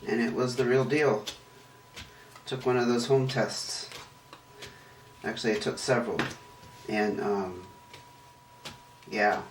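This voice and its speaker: A hoarse man talks his way through a casual tarot reading, rambling and correcting himself as he goes. His roughened voice sounds a little distant from the mic in a roomy, echoing space, with a faint hiss underneath. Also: medium pitch, steady tone, takes it slowly, pauses often. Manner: chatty, rambling, self-correcting. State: hoarse, voice rough and deeper from illness. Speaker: tarot reader and channel host.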